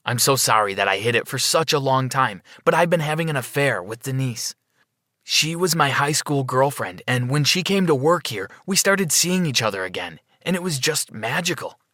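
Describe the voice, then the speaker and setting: A man's voice: medium pitch, lively intonation, moderate pace, bright, forceful tone, no echo, studio quality. A man playing an emotional, melodramatic middle-aged father in a narrated story. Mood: apologetic.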